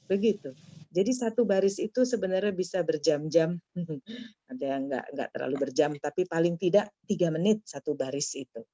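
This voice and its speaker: A woman teaching Arabic in an online class, correcting a student's reading and drilling pronunciation slowly and firmly. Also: fairly low pitch, dark tone, no echo, dull audio.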